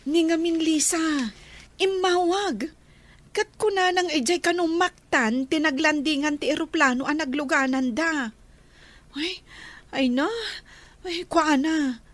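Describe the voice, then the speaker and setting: Drama casting: high-pitched woman, worried family confidante, fretful and concerned. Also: unhurried. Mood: anxious, reporting. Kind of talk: Ilocano radio drama acting.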